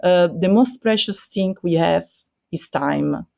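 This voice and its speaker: This is a middle-aged Italian woman speaking English as a second language, with a clear Italian accent. She talks in a podcast interview, halting often with "uh" and "um" and searching for words as she goes. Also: on the low side, warm tone. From Italy. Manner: hesitant with many fillers, non-native phrasing. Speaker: teacher guest. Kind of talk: interview, remote podcast conversation. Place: recording over the internet.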